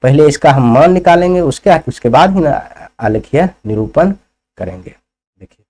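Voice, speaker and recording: Mellow male maths teacher. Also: on the high side, animated, normal speed. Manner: instructive, checks understanding with rhetorical questions. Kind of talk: classroom lesson, working problems step by step.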